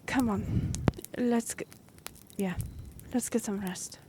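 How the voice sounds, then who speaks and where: A woman's voice: fairly high pitch, steady tone, takes it slowly, background noise. A woman playing a courteous half-elf royal liaison in a fantasy audio drama, speaking formally and explaining things with some hesitation. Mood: apologetic, gentle.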